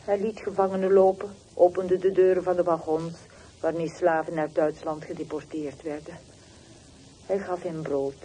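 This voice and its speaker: An actress playing a bitter, wounded middle-aged woman on the telephone in a radio play, terse and reproachful with deliberate pauses. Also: fairly low pitch, steady tone, warm tone, lightly acted. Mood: pained, urgent. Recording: noisy old radio drama recording.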